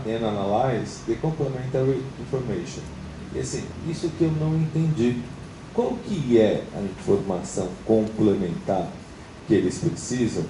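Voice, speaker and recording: A man with a Brazilian Portuguese accent chairing a creditors' meeting, speaking in measured, hedging formal remarks and often checking in with "tá?". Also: mid-range voice. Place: meeting room. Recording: roomy, distant mic, noisy.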